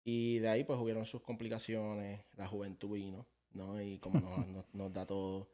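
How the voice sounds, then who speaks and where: A young Puerto Rican man with a Puerto Rican accent, telling his story as a podcast guest in a halting way full of fillers. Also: fairly low pitch, flat delivery, dark tone. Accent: Puerto Rican Spanish. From Puerto Rico. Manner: halting, many fillers and tag questions. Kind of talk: casual interview talk.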